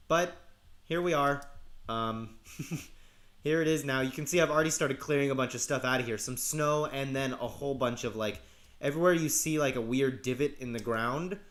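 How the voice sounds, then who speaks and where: A man narrating his survival game casually while sick, his voice gravelly and cracking, rambling with halting restarts. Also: medium pitch, a bit bright. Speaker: let's play host. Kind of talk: casual gameplay commentary.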